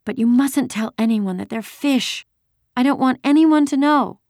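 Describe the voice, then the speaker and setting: A woman's voice: sits high, normal speed, a little mellow, no room sound, studio quality, voice pushed up. A woman voicing a stubborn, sulky little girl in an audiobook, wailing and bellowing with emphasis. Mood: grudging. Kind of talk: acted children's audiobook dialogue.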